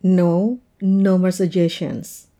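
A woman's voice: on the low side, fairly even intonation, warm tone. A woman acting an oversensitive young woman in an intimate audio roleplay, speaking to her partner in a hesitant, plaintive way. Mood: annoyed, pouting. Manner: hesitant, plaintive, halting delivery. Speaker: roleplay voice performer. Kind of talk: intimate audio roleplay, one-sided dialogue.

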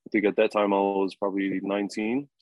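A young man in his twenties, a rugby player answering interview questions in a relaxed, halting way with many fillers and chuckles. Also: lowish voice, dry recording, low-quality recording. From New Zealand. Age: 20s.

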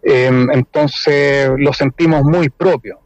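A man's voice: a little high, steady tone, slow pace, darkish tone, no echo. A man speaking conversationally as a guest in a radio interview over the phone. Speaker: musician and ensemble director, interview guest. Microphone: telephone. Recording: dull phone-in line, dry.

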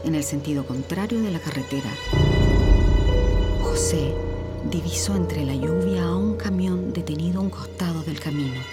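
Low-pitched woman narrating over prominent music. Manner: measured, suspenseful. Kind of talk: dramatic scripted radio story narration. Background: prominent music and sound effects.

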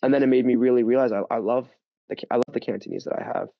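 A man's voice: medium pitch, vocal fry, no echo. A man chatting as a podcast guest, telling stories animatedly with lots of fillers and restarts. His audio is dull and muffled, with a dry, close sound. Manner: animated storytelling, full of fillers and quick restarts. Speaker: podcast guest, language teacher. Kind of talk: casual interview chat.

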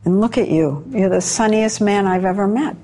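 A woman's voice: mid-range voice, moderate pace, dark tone. A woman interviewing a guest, asking curious, personal questions.

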